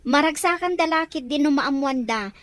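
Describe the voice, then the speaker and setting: A woman acting a contented overseas daughter in an Ilocano audio drama. The voice is extremely high and dry, with an Ilocano accent. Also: normal speed, fairly bright tone, dry recording. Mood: pleased, casual.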